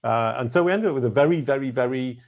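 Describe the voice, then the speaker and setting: A middle-aged man with a big deep voice, a podcast guest telling long stories in casual conversation, with fillers and self-corrections along the way. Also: quick pace, a little mellow, muffled recording. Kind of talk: casual storytelling interview.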